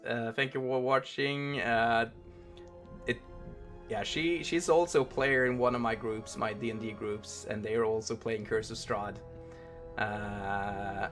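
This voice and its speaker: A man's voice: fairly low pitch, fairly bright tone. A man from Norway with a Norwegian accent, chatting casually as the game master and host of a streamed tabletop game. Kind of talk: casual post-game chat.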